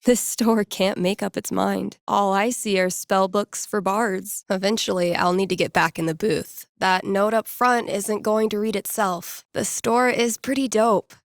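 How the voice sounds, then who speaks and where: A woman's voice: medium pitch, steady tone, a little slow, hardly any pauses, no room sound, studio quality, close to an everyday voice. A woman voicing a wry, casual young American record store DJ in a video game, muttering to herself with self-amusement. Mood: musing. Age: young adult. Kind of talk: naturalistic video game voice acting.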